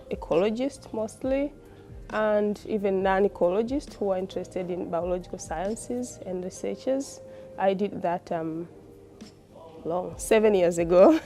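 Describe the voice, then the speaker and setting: Female, Tanzanian accent, soft dark tone. Student testimonial, informal and explanatory. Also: lowish voice. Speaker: postgraduate student.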